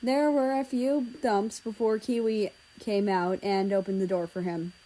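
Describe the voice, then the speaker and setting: A woman reads a story aloud as narrator. Her voice sits at an average pitch for a woman, following a written script. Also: steady tone, unhurried, mellow, low-quality recording. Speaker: story reader.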